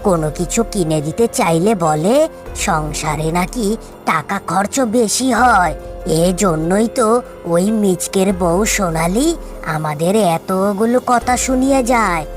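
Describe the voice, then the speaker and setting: A woman's voice: fairly low pitch, a little slow, lightly acted. A woman voicing a stubborn, indignant tigress housewife in a cartoon, with an emphatic, complaining manner. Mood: resentful. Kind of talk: cartoon voice acting. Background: background music.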